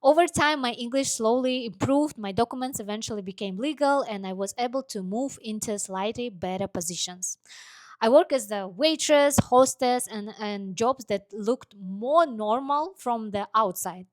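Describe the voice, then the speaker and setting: A woman recounts her own story in a personal vlog, pausing often and leaning on "like" as she searches for words in English. Her voice sits fairly high for a woman, and the recording is dry and close, with no room sound around her. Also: no echo. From Kazakhstan. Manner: halting non-native English, many "like" fillers. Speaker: vlogger. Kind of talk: personal life story monologue.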